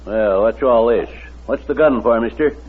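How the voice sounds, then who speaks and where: An actor playing a suspicious, protective lodging proprietor in a 1940s radio drama. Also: male voice, medium pitch, moderate pace, husky, lightly acted. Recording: tinny vintage radio recording.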